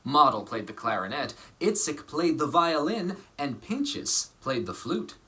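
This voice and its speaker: Bright, highly expressive male storybook narrator. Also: measured pace. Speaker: actor reading a children's storybook aloud.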